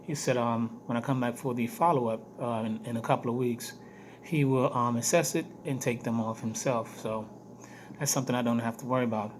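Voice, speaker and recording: Hoarse man with a weak voice. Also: mid-range voice, steady tone. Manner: halting, repeats phrases for emphasis. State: hoarse, weak voice after throat surgery. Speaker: vlogger giving health updates. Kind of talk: casual video diary update to camera. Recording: noticeable background noise.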